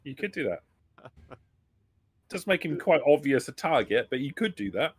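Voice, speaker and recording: A man's voice: on the high side, sing-song, moderate pace, husky, dry recording. A man acting as game master, explaining rules and narrating a tabletop roleplaying game in a hesitant, explanatory way.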